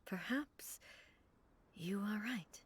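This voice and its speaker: A woman playing a calm, regal goddess ruler who speaks in a composed, measured way in a game. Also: mid-range voice, a little hiss or hum. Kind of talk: video game cutscene voice acting.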